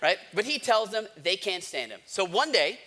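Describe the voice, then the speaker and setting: A man's voice: high voice, sing-song, normal speed, bright, forceful tone. An American man preaching a sermon as a pastor, telling stories informally with wry asides and speaking directly to his listeners. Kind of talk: conversational storytelling sermon.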